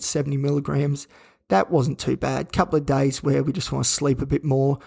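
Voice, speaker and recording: A man hosting a solo podcast from a hospital room, his voice croaky and worn out, talking flatly and wearily with rambling restarts. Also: fairly high pitch. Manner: flat, weary, rambling with restarts. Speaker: podcast host. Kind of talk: candid solo podcast monologue. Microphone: own recording gear.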